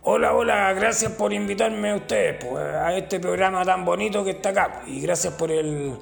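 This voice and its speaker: A man's voice: sits high, moderate pace, vocal fry. An actor playing a rascally old Chilean working man and former gravedigger, tipsy, with a Chilean accent, telling folksy slangy boasts. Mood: chummy. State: tipsy from drinking. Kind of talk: comic character interview.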